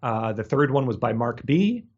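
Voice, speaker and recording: Man, medium pitch, podcast co-host, casual book chat, halting delivery, slightly muffled lo-fi recording. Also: sing-song, breathy edge. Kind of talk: casual book discussion with read-aloud passages.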